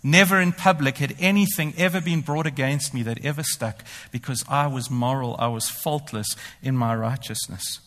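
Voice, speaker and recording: A man's voice: mid-range voice, moderate pace, hardly any pauses, fairly bright tone. A man preaching a sermon. He talks animatedly, often speaking in the first person as the apostle and boasting ironically. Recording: long hall reverb.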